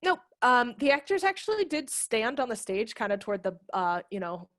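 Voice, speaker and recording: A woman in her thirties talking casually and explaining in an animated way on a webinar, speaking into a headset. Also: on the high side, normal speed, no room sound, clean studio sound. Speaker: theater director, guest presenter. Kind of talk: casual webinar question-and-answer talk. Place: small home room, video call. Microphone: headset microphone.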